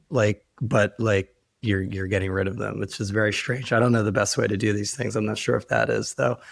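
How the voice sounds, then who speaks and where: A hoarse man who is losing his voice, talking casually and wryly as a podcast host in a rambling, informal way. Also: lowish voice, dry recording, clean studio sound. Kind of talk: casual conversational podcast talk.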